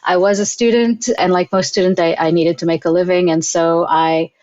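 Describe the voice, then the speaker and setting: A middle-aged woman talks through her career in a low, even voice. She speaks thoughtfully and restarts her sentences often. The sound is dry and slightly muffled. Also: normal speed, no room sound. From Israel. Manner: thoughtful, with frequent restarts and light laughs. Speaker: guest, payments product executive. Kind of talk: casual interview talk.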